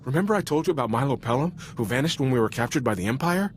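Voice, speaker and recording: A man's voice: medium pitch, lively intonation, racing, slight background noise, lightly acted. A man playing an earnest, loyal Republic soldier in a video game, speaking frankly in an informal, sincere military manner. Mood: concerned, urgent. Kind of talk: cinematic video game voice acting.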